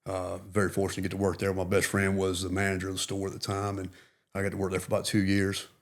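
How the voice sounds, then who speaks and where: A man's voice: low voice, completely flat delivery, quick pace. A middle-aged man, a retired Marine, talking earnestly and reflectively as a podcast guest, with many "you know" fillers. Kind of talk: casual interview.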